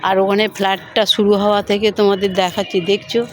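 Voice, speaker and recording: A woman's voice: mid-range voice, level tone, fast talker, faint music behind. A middle-aged or older woman, chatting casually to viewers as she narrates her daily home life, on a slightly noisy handheld recording. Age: middle-aged or older, has grandchildren. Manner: chatty, addresses viewers as friends. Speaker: home vlogger.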